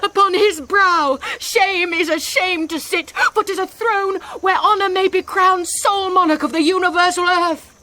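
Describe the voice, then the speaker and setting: An actress with a British accent playing a passionate, distraught young bride in classical Shakespearean radio drama, phrasing verse theatrically. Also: female voice, very high voice, fairly even intonation, moderate pace, hardly any pauses, pushed and bright. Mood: indignant.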